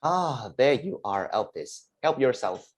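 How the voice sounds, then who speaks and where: A smug game-master villain from a stage play, acted by a woman. The low voice swings widely in pitch, slick and mocking like a showman. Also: sing-song, a little slow, a little mellow, full character voice. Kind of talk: reader's theatre acting.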